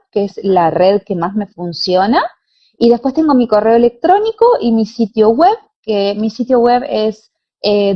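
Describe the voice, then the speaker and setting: Argentine woman, dull lo-fi audio. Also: fairly high pitch. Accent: Argentine Spanish. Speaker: interview guest, pilates teacher and former dancer. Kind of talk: conversational interview answers. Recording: dull, lo-fi online call audio.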